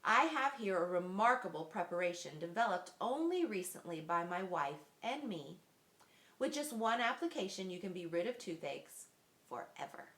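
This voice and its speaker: A woman voicing a firm, serious male mouse dentist in a storybook, announcing things crisply. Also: on the low side, very animated, moderate pace, fairly bright tone, roomy sound. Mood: reassuring.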